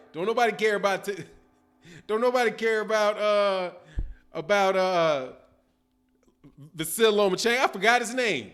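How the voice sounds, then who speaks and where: A man's voice: sits high, fairly bright tone, slight background noise. A middle-aged man with a Chicago accent, hosting a boxing livestream in loose, slangy casual chat while reading viewer comments. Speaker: boxing channel host. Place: dim room at home, indoors. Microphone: webcam, seated at desk.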